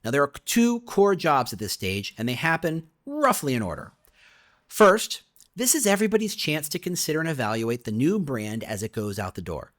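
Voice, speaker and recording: A man narrates a scripted explainer in a slightly high voice with lively, swinging intonation. He sounds conversational and emphatic, talking straight to the listener and leaning into rhetorical questions. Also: moderate pace. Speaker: author reading own business audiobook.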